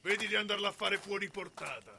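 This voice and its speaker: A man's voice: high-pitched, fast talker, gravelly, bright, forceful tone, a little hiss or hum, full character voice. A man acting a coarse, drunken mercenary soldier in a radio drama, barking crudely. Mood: jeering, irritated.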